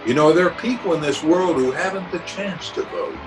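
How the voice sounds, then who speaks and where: A man's voice: on the high side, lightly acted, poor audio. A man playing a blustery, genial middle-aged small-town uncle in a pompous, theatrical 1940s radio comedy style. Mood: earnest. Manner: pompous, theatrical, with drawn-out hesitations and chuckles. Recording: music behind the voice, remote home recording.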